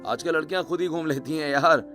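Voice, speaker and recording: A man's voice: on the high side, quick pace, fairly bright tone, soft background music, natural voice. A man voicing a tired, easygoing young husband in a cartoon story, talking casually.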